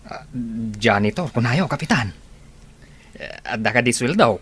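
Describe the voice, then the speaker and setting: A man's voice: mid-range voice, animated, moderate pace, fairly bright tone, breathy edge. A man with an Ilocano Filipino accent playing a sheepish, pleading jobless petty thief in a radio comedy drama. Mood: contrite, eager.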